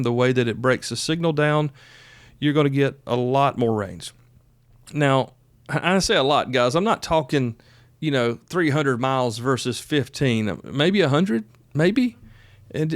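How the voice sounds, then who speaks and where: A man's voice: medium pitch, no echo. A man hosting a podcast, explaining things in a conversational, folksy way as if chatting with the guys listening. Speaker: podcast host. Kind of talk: casual instructional podcast monologue.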